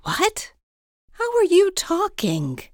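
A woman's voice: extremely high pitch, huge pitch swings, takes it slowly, no echo, full character voice. A woman playing an easily flustered, devoted scientist in an audio storybook drama. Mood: startled.

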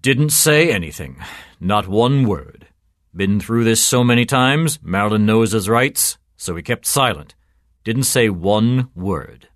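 A man voicing a cynical, gruff police sergeant in a story, clipped and sardonic in his delivery. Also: on the low side, animated, slow pace, dry recording, clean studio sound. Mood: sardonic, taunting. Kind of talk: storytelling character voice.

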